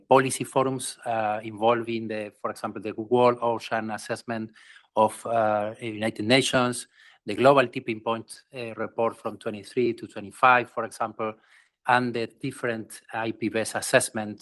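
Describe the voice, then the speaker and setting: A man's voice: mid-range voice, a bit bright. A man from Argentina, a researcher speaking on a conference panel, hesitant and full of fillers.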